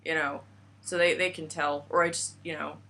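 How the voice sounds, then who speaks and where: A young woman from the United States chats through a travel story, piling on fillers and restarts. Her voice is bright and a little low, and a faint hum sits behind her. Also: level tone, normal speed, creaky. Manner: chatty, rambling with fillers and restarts. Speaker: vlogger. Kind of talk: casual travel vlog chat.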